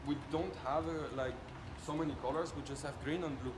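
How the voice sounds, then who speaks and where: A man's voice: on the high side, steady tone, brisk. A man with a French accent giving an informal product demo, explaining step by step and often checking that listeners follow. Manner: explanatory, often ends points with checking tag questions. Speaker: company presenter demonstrating a product. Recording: echoey room, loud background noise.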